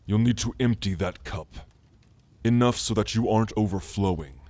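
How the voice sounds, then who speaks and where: A man voicing a calm, scholarly orc shaman and gentle mentor, speaking in a soothing, measured, formal way in an ASMR roleplay. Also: fairly low pitch, dry recording, close to an everyday voice. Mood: calm, hypnotic.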